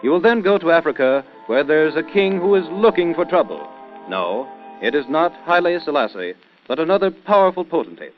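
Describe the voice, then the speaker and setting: A male announcer with very lively intonation and a polished, emphatic pitchman's delivery. It is 1930s radio style. The recording is dull, with music clearly behind. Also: brisk.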